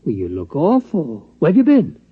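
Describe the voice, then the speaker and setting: An actor playing a folksy, rambling American security guard, plainspoken and hesitant, in a 1950s radio drama. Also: male voice, on the high side, wildly swooping pitch, normal speed, breathy edge, heavily acted. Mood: surprised, curious. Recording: dull vintage radio, music behind.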